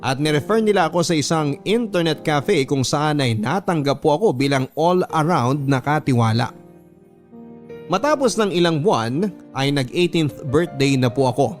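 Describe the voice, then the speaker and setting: A man with a Filipino accent reading a letter as a radio drama narrator, in an earnest, measured storytelling manner. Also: fairly high pitch, animated, soft background music.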